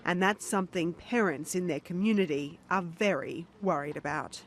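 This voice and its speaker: A woman reporter, reading scripted news narration in a measured, serious way. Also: lowish voice, very noisy.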